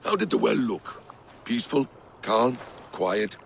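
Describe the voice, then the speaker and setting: An actor playing a sly trickster god in a video game, probing and insinuating with feigned innocence. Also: male voice, medium pitch, very animated, measured pace, vocal fry, a bit bright, a little hiss or hum, heavily acted. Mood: probing, coy. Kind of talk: video game cutscene acting.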